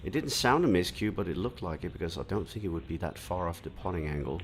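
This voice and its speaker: A man from Denmark commenting live on a pool match, explaining shots in a relaxed way and answering viewer comments, with frequent fillers. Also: low voice, fast, slight background noise.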